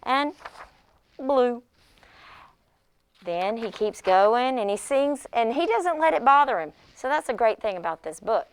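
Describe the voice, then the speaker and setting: Woman, pre-K teacher, very lively intonation, unhurried pace, expressive read-aloud delivery for young children. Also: a little high, clear, resonant tone.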